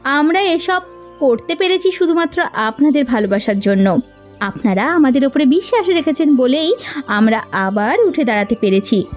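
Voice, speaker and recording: Lead: a woman playing a high-voiced, dutiful elder sister for a cartoon, music faint behind. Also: animated, moderate pace. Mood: earnest. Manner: indignant, scolding. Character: stern elder sister running a hill kitchen. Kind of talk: cartoon voice acting.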